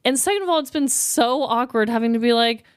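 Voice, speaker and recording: Expressive woman with a clear, high voice. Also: fairly quick, no room sound, clean studio sound. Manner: expressive, candid, swears freely. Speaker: podcast co-host. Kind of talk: casual conversational storytelling.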